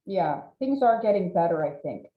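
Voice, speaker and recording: A woman's voice: fairly low pitch, very animated, moderate pace. A woman hosting an interview, talking in a reflective, enthusiastic way. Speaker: host, interviewer. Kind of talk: conversational interview. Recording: dull echoey room audio.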